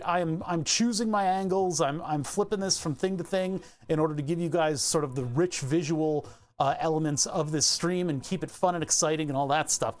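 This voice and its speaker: A fairly high-voiced man rambles through a relaxed live stream, chuckling now and then as he walks through his setup. He speaks into a lapel mic clipped on in his home workshop. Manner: easygoing, chuckles often, talks through his screen setup. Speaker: livestreamer.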